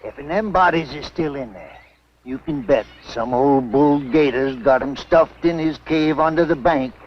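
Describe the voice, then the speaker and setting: An actor playing a callous swamp local with a drawl, wry and earthy, in a 1950s B-movie. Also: male voice, on the high side, measured pace, natural voice. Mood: wry, scornful. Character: folksy, callous swamp local hunting bodies for reward. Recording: dull, faintly noisy 1950s film soundtrack.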